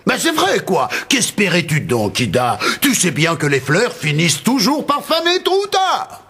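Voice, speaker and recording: An actor playing a scornful, grumpy man with a gravelly, blustering delivery in a cartoon. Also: high-pitched, huge pitch swings, normal speed, a bit bright, faint music behind, full character voice. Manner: blustering, dismissive. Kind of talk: cartoon character acting.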